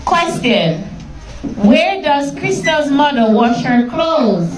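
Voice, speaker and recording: A woman's voice: high voice, very animated, over loud music. A woman teacher reading a story aloud to a class and patiently quizzing her pupils in a classroom. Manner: slow, patient questioning of pupils. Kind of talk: classroom lesson and read-aloud. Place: classroom full of children. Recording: echoey room, mic far off, class chatter.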